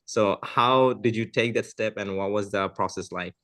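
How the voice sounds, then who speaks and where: A man's voice: on the low side, moderate pace, a bit bright. A young man hosting a casual interview, talking with lots of hesitant fillers. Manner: hesitant, many "uh" and "um" fillers, friendly. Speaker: podcast host.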